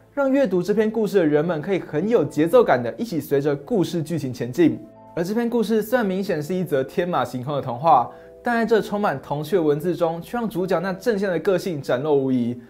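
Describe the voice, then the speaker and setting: A man's voice: a little high, a little mellow. Man narrating a fairy tale over faint music. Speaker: storyteller host. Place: dim study set, indoors. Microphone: lapel mic.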